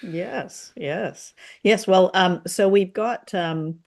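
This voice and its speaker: A woman co-hosting a casual discussion show, speaking in a thoughtful, halting way as she searches for words. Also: lowish voice, gravelly, darkish tone. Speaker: podcast co-host reviewing radio dramas.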